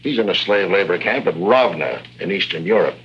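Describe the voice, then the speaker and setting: Low-voiced, earnest agency aide. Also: male voice, expressive, fairly quick, slightly rough, full character voice. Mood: grave, urgent. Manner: matter-of-fact, grave briefing. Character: brisk, earnest male intelligence office aide. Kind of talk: 1950s radio drama acting. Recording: dull, humming old radio recording.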